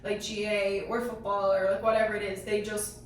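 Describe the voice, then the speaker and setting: A woman with a mid-pitched voice talks informally with colleagues, explaining as she goes. The room echoes heavily, she sounds set back from the microphone, and there is faint background noise. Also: flat delivery, fairly quick, recorded from a distance. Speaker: panelist in a sports team discussion. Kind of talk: casual group discussion.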